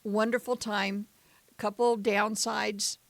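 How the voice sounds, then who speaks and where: A woman's voice: mid-range voice, flat delivery, slow pace, vocal fry, no echo. An older woman co-hosting a podcast, telling stories in a chatty way and laughing often, with a tired morning voice. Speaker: podcast co-host. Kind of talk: casual chat.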